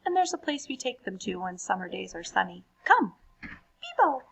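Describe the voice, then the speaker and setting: A woman's voice: medium pitch, big pitch swings, moderate pace, gravelly, fairly bright tone, husky, exaggerated voice, poor audio. A woman voicing a tiny, cheerful, affectionate female hippo from a storybook in a bouncy sing-song.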